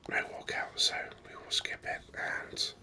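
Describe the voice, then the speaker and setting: A man whispering ASMR game commentary in a breathy, unhurried way, thinking aloud close to the microphone. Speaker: gaming video host.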